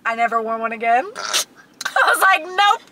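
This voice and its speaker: A woman's voice: fairly high pitch, big pitch swings, a little slow. A woman in her twenties chatting casually as a vlogger, telling stories with dramatic emphasis in a car. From small town. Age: 20s. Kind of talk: casual chat, storytelling with a friend. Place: inside a parked car at night. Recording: background noise inside a parked car.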